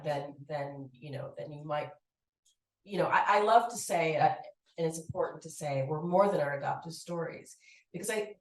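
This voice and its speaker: A woman on a panel answers with animated energy, acting out quoted voices as she goes. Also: low voice. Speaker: panelist, anthology contributor. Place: event hall. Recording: roomy echo, distant mic.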